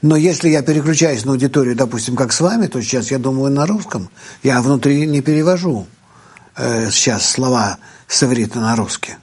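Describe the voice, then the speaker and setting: Husky guy answering questions slow, lots of uh and pauses. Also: medium pitch, expressive, a little mellow. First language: Russian. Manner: slow, hesitant, thinks aloud with many fillers. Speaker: guest teacher. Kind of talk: live Q&A talk.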